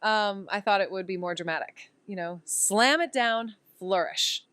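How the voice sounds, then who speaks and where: A woman's voice: medium pitch, unhurried, fairly bright tone, clear, resonant tone, dry recording, natural voice. An actress playing a wisecracking young woman investigator who jokes sarcastically. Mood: playful. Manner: joking, sarcastic. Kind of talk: audio drama acting.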